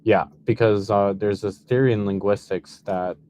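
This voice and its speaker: A man of twenty-one from the United States in casual conversation, talking quickly and loosely with many "like"s. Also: fairly low pitch, steady tone, mellow, a little hiss or hum. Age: 20s.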